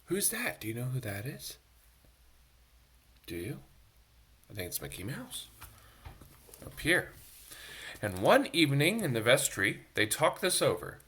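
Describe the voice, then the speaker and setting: Man, children's story reader, expressive, lively swooping intonation, bright tone, chatty asides to kids. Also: medium pitch, measured pace, pauses often, breathy edge. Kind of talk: reading a picture book aloud to children. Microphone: phone.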